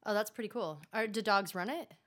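A woman's voice: mid-range voice, a little fast, fairly bright tone. A woman co-hosting a podcast in casual chat, playful and joking dryly. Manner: playful, dry jokes. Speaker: podcast co-host.

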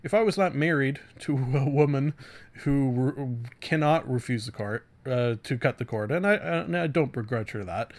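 A man talks through casual reviews as a solo podcast host, in a medium-pitched voice. His delivery is halting and conversational, full of asides, self-interruptions and corrections. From Canada.

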